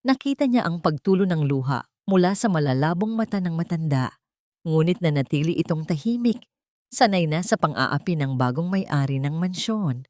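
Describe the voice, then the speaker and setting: A woman with a Filipino accent narrating a dramatic story in a measured, theatrical way. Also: low voice, expressive, mellow, no echo, studio quality.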